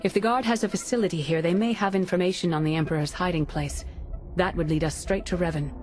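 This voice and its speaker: An actress playing a serene, perceptive mystic leader in a video game, composed, grave and deliberate. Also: female voice, lowish voice, steady tone, darkish tone, soft background music, lightly acted. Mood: assured.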